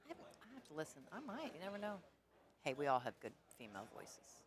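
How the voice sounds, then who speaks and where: A woman with a low alto voice commentating on a pool match in a casual, rambling way that laughs easily. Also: wildly swooping pitch, quick pace. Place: pool hall.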